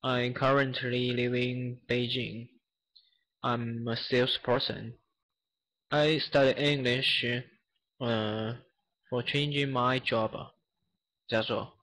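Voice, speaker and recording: A man from China with a Chinese accent, an English learner introducing himself haltingly in class. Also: medium pitch, level tone, fairly bright tone, rough recording. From Jiangxi, China.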